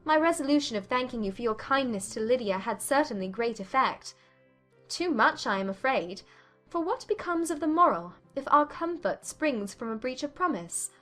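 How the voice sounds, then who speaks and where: A woman playing a witty, spirited young gentlewoman in a dramatized period romance audiobook, with a playful, articulate manner. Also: high-pitched, animated, moderate pace, faint music behind. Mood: playful, teasing.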